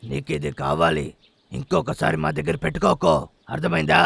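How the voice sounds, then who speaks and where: A man voicing a confident, bossy elder-brother bear with a gravelly voice in a dubbed children's cartoon. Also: fairly high pitch, fairly quick, a bit bright. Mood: firm. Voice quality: gravelly, creaky.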